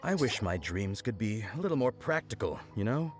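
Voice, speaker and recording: A man's voice: fairly low pitch, big pitch swings, fairly quick. A man voicing a townsman who daydreams about fame, musing wistfully in game audio with music behind.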